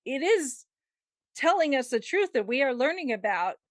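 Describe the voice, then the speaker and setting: A woman's voice: sits high, big pitch swings, normal speed, no echo, clean studio sound. A woman hosting a podcast in a casual, conversational style. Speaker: podcast host. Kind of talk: casual discussion.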